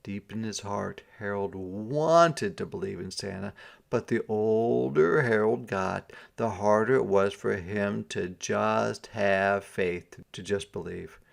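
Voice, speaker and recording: A man reading a storybook aloud to children as a teacher, pausing to ask questions and explain things simply. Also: fairly low pitch, vocal fry. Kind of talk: interactive storybook read-aloud.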